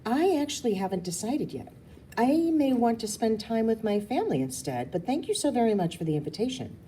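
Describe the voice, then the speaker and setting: Gracious storybook mom, read by a woman, polite and a little flustered, mellow with lively lilt. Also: fairly high pitch, brisk, a little hiss or hum, pitched above the performer's own voice. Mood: gracious, reluctant.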